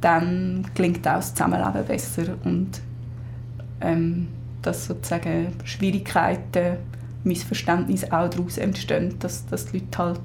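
A woman's voice: fairly low pitch, flat delivery, a little slow, vocal fry, darkish tone, slight background noise. A female project leader answers interview questions in a rambling way, with many fillers and restarts.

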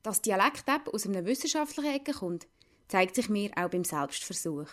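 A woman's voice: medium pitch, big pitch swings, moderate pace. A woman with a Lucerne Swiss German accent, narrating a scripted radio report as a reporter.

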